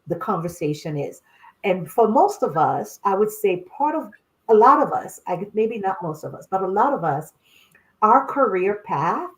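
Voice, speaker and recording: A woman's voice: on the low side, poor audio. A middle-aged woman from Haiti, raised in Boston, who speaks as a guest expert in a casual podcast conversation, telling personal stories with frequent laughter. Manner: animated storyteller, laughs often, addresses listeners as y'all. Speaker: guest, clinical sexologist.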